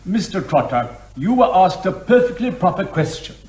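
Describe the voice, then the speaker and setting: An actor playing a sardonic, impatient county court judge with a curt, authoritative manner. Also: male voice, high-pitched, very animated, moderate pace, a little mellow, roomy sound. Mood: stern, impatient. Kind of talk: comic courtroom drama.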